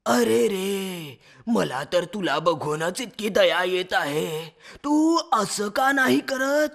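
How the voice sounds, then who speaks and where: A man voicing a cunning fox minister in a children's animal story, raspy and creaky, with a wheedling, flattering manner. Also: high-pitched, slow, heavily acted. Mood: coaxing, falsely friendly. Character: cunning sweet-talking fox, minister to a lion.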